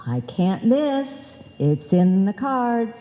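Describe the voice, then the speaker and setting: An actress playing a chatty, muddled, earnest housewife with money-making notions in an old-time radio comedy. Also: female voice, medium pitch, sing-song, slow, loud music behind the voice. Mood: excited scheming. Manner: muddled, earnest, malapropism-prone. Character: scatterbrained, chatty housewife eager to make money. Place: live performance in a reverberant hall. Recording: echoey hall, live stage performance.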